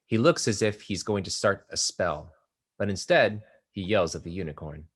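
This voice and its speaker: Low-voiced man reading stage directions. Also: normal speed, breathy edge. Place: live reading at an art exhibition.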